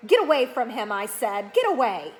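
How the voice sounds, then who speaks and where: A woman's voice: a little high, very animated, moderate pace, soft background music. A woman voicing a menacing teenage male villain in a children's fantasy storybook, taunting, cold and self-satisfied. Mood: angry commanding.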